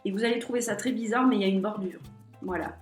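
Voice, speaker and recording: A woman's voice: mid-range voice, fast. A woman with a slight head cold, chatting hesitantly in a casual vlog as she shows her tarot and oracle cards. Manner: chatty, hesitant, many fillers. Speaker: tarot and oracle card vlogger. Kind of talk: casual show-and-tell vlog. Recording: echoey room with faint music.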